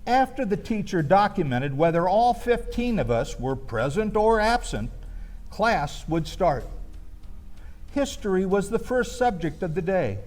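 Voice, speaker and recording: Slow-paced man telling stories in a high voice. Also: sing-song, a little mellow. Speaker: storyteller. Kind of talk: live storytelling, first-person comic reminiscence.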